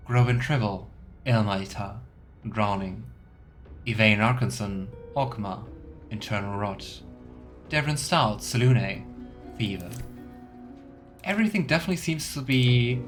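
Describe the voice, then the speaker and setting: A man giving casual, chatty game commentary as a let's play streamer, sometimes reading game text aloud. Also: fairly low pitch.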